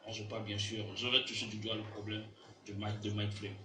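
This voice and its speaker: A man's voice: lowish voice, monotone, brisk, recorded from a distance. A man asking questions as a casual radio interviewer, repeating words as they go. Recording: very echoey room, faint music.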